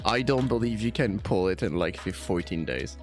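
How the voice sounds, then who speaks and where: Lively-voiced man in casual banter. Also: lowish voice, moderate pace. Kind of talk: casual podcast chat about a video game. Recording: music behind the voice.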